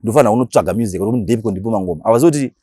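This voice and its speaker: A Shona-speaking man with a Zimbabwean accent, talking casually as an interview guest and explaining things in animated, slangy language with acted-out examples. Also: fairly low pitch, very fast, breathy edge, no echo, studio quality. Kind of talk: casual interview talk mixing Shona and English.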